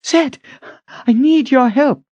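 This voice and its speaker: A man's voice: very high voice, slow pace, darkish tone, no room sound, lo-fi sound, voice pushed up, heavily acted. A man voicing a frantic young woman who pleads urgently and breathlessly in a dramatic audiobook reading. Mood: urgent relief.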